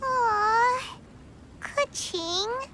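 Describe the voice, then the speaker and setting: A woman voicing a tiny, eager, cheerful floating fairy companion in a video game, with a squeaky childlike voice. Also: noisy background, exaggerated voice. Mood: hesitant, concerned. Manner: eager, drawn-out, exclamatory.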